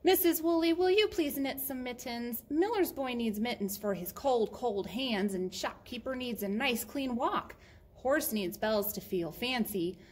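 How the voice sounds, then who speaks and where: A woman voicing a small, anxious, hurried mouse who pleads earnestly and in singsong in a children's picture book. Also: a little high, pitched above the performer's own voice. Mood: pleading, insistent.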